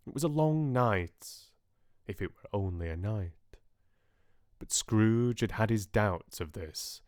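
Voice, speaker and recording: Casting: man for classic audiobook narration, dramatic and expressive, unhurried, in a very dry close recording. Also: deep voice, no room sound. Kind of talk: scripted narration of classic literature.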